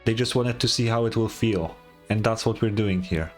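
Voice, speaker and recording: A man's voice: on the low side, moderate pace. Sarcastic male streamer, music faintly behind. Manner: sarcastic, blunt, swears. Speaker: streamer reacting to a game. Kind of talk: casual live reaction commentary.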